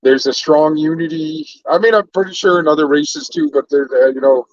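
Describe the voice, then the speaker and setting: A man from Canada chats loosely on a livestream, drifting between topics and calling out viewers by name. His voice sits a little high. The sound is close, dry and muffled, like a cheap stream mic. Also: fairly quick, no echo. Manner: laid-back, rambling, shouts out viewers by name. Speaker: livestream host. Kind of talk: casual livestream chat.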